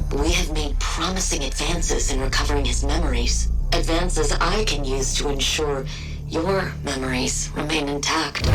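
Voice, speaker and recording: A composed scientist villain who weighs every word calmly. Her voice is very low for a woman and sounds slightly distant from the mic. A loud score plays under her. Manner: calm, measured, clinical. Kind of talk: video game cutscene acting.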